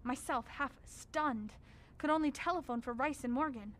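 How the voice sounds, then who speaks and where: A woman playing a grave, dread-filled scholar in a radio drama, speaking in a formal, measured, ominous way. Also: high voice, a bit bright, a little hiss or hum. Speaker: actor narrating. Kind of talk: radio drama narration as a written record.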